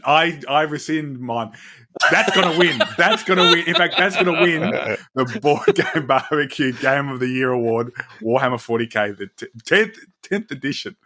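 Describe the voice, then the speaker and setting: A man's voice: medium pitch, moderate pace, pushed and bright. A man with a country Victorian accent, co-hosting a casual board game podcast, joking and restarting his sentences often. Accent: Australian, country Victorian. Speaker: podcast co-host. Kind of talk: casual chat.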